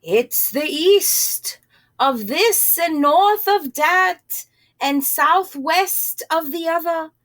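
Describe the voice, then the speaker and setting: A woman voicing a female cow who gives riddling, drawn-out directions in a children's picture book. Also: extremely high pitch, animated, fairly bright tone, voice pushed up, full character voice.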